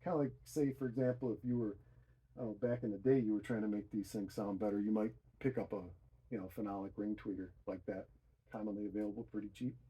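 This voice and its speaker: Mellow-voiced man rambling casually. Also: lowish voice, fairly even intonation, fast, slight background noise, rough recording. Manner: rambling, thinking aloud, self-deprecating. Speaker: DIY hi-fi hobbyist presenter. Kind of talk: casual unscripted video explanation.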